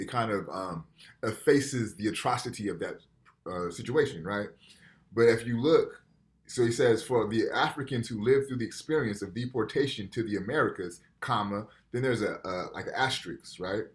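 A man in his thirties giving a casual college lecture over a video call from a room at home, reading passages aloud and explaining them in a conversational way. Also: fairly low pitch. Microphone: video call webcam.

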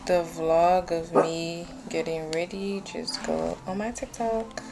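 A woman's voice: lowish voice, fairly even intonation, takes it slowly, loud music behind the voice, low-quality recording. A young woman chatting casually and informally to camera in a slangy vlog style. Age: young adult. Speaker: vlogger.

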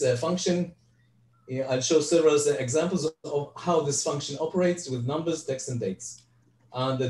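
A man's voice: a little high, warm tone. Older man teaching on a video call. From Israel. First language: Hebrew. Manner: halting, frequent restarts and fillers. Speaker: guest presenter. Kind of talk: online Excel lecture. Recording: echoey, distant video call audio.

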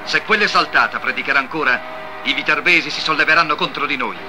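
An actor playing a hostile, scheming enemy of the Church in a religious cartoon, urgent and declamatory. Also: male voice, a little high, fast talker, music in the background, natural voice. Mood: urgent warning.

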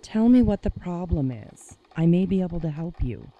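A woman's voice: fairly low pitch, sing-song, normal speed, mellow, muffled recording. A woman playing a composed, teasing deputy in her early sixties in an audio drama. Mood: wry, calm.

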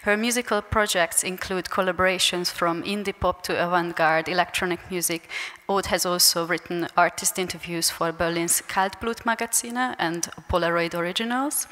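A woman's voice: on the low side, steady tone, normal speed, fairly bright tone. Woman moderator reading an introduction. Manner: reads a prepared bio steadily. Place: festival talk stage in a large hall. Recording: long hall reverb.

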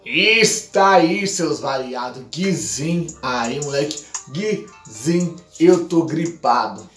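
A Brazilian man hosting a react channel, talking fast and hyped with lots of slang. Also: on the high side, expressive, a bit bright, soft background music. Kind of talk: hyped channel intro and song review. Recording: roomy echo.

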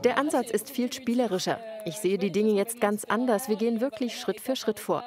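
A woman's voice: mid-range voice, fairly quick, over music. A young woman in her twenties, a program participant, giving earnest, reflective answers in an interview. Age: 20s.

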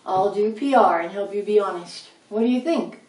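A woman's voice: mid-range voice, moderate pace, a little mellow, natural voice. A woman voicing a cheerful, bossy male red rat who talks in upbeat rhymes, recorded in a roomy space a little off the mic. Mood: coaxing. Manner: upbeat, rhyming, coaxing. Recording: roomy, slightly distant mic.

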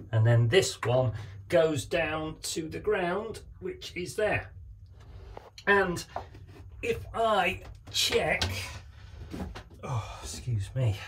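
A man in his fifties filming himself in a small boat cabin on a phone, explaining a wiring job in a relaxed, hesitant way with many fillers. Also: a little high, slow, slight background noise. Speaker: sailing vlogger. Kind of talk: casual how-to vlog. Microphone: handheld phone camera.

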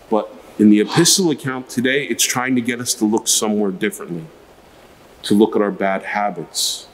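Low-voiced middle-aged priest preaching. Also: male voice, rough recording. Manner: explanatory, emphatic, with rhetorical questions. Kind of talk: homily, spoken to a congregation. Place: church sanctuary at a lectern. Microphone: gooseneck lectern microphone.

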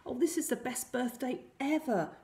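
A woman's voice: high-pitched, animated, normal speed, warm tone, pitched above the performer's own voice. An earnest ten-year-old prince from a storybook, read by a woman. He is a brave boy who speaks sincerely. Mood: delighted. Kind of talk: children's storybook reading.

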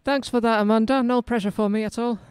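A woman from Finland with a Finnish accent, chatting casually and self-deprecatingly to a pub garden crowd between songs at an open mic, on a stand mic. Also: a little high. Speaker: singer-pianist. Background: live keyboard.

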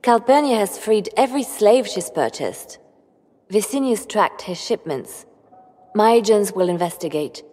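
A woman playing a composed, calculating spymaster in a video game, speaking in a measured, formal and precise way. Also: medium pitch, expressive, mellow. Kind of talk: scripted video game dialogue. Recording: long hall reverb.

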